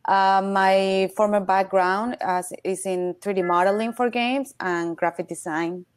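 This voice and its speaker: A woman's voice: mid-range voice, steady tone, unhurried, smooth. A young woman graduate student on a panel who talks hesitantly and says "like" often. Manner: hesitant, leans heavily on "like". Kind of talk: casual panel talk. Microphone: video call.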